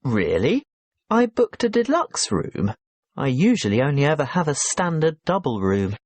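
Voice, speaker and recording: A man playing a polite businessman checking into a hotel in a scripted listening-test dialogue, courteous and clearly spoken. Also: a little high, huge pitch swings, normal speed, a little mellow, no room sound. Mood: pleasantly interested.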